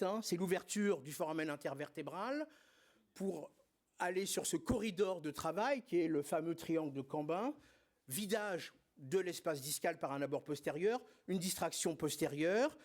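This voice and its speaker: A man with a notably high voice delivers a conference lecture on surgery. He speaks didactically and with emphasis, stumbling now and then over fillers and restarts. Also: darkish tone. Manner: didactic, emphatic, frequent hesitations and filler words. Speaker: spine surgeon presenting at a congress.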